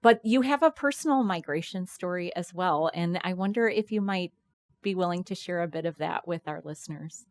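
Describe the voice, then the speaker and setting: A woman's voice: lowish voice, moderate pace, no room sound. A woman hosting an interview podcast, upbeat, gracious and welcoming. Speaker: podcast host. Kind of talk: interview conversation.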